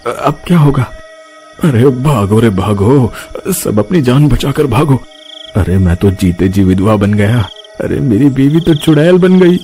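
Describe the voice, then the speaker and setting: Casting: man to play frantic village husband for a horror cartoon, mid-pitched, wailing, music underneath. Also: animated, unhurried, dark tone, lightly acted. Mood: panicked wailing. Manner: wailing, exclamatory. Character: panicky village man whose wife turned witch. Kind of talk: melodramatic Hindi cartoon voice acting.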